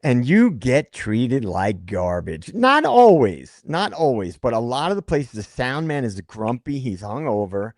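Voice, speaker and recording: A man's voice: mid-range voice, unhurried, hardly any pauses, no room sound. Dry-voiced Brooklyn-born man telling stories. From Brooklyn, New York, United States. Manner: animated storyteller who repeats words when he gets going. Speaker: co-host of a true crime livestream. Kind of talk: casual livestream chat.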